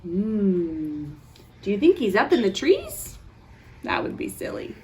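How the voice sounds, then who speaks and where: A woman reading a picture book aloud to young children, acting it up theatrically and putting questions to them. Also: medium pitch. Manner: animated, theatrical gasps and pauses for young listeners. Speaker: children's storytime reader.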